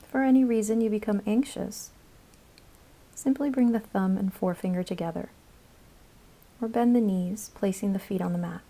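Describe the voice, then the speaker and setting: Calm lady leading guided meditation, soft mellow tone w lots of vocal fry, measured phrases and pauses. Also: mid-range voice, rough recording. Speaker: yoga nidra meditation guide.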